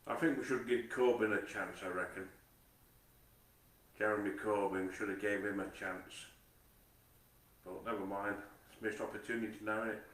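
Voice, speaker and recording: A man's voice: lowish voice, fairly even intonation, moderate pace, long pauses, a bit bright, echoey room, recorded from a distance. A man with a Nottingham accent, rambling in a monologue to camera, swearing constantly, repeating himself and stumbling over his words. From England. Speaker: vlogger.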